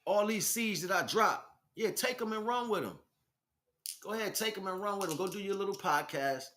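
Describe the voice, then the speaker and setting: A man's voice: high-pitched, animated, moderate pace, bright, forceful tone. An American man delivering an informal spiritual monologue as a teacher, speaking emphatically in streetwise slang and addressing listeners directly.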